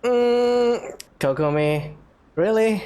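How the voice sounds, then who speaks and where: A woman's voice: fairly high pitch, lively intonation, very slow, dark tone. A woman gameplay streamer talking casually, reacting in short remarks as she plays. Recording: game music behind the voice.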